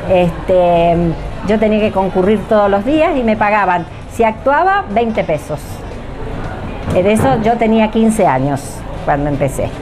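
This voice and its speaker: An older woman with an Argentine accent, recalling her radio acting days in an interview with hesitant, searching pauses. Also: mid-range voice, lively intonation, over music. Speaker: former radio drama actress being interviewed. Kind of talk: reminiscing interview talk.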